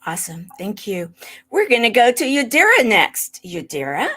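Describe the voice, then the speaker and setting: A woman's voice: on the high side, sing-song, moderate pace, sharp tone, breathy edge. A woman hosting an online panel, calling on each guest in turn. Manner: leisurely, introducing each guest by name. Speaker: panel host.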